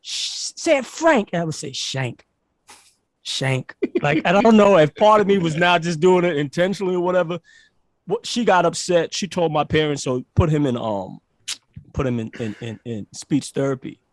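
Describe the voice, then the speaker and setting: A man's voice: a little high, normal speed, no echo. A man from Barbados, a guest telling stories in a casual interview, acting out quotes and restarting often. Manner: animated, acts out quotes, many restarts.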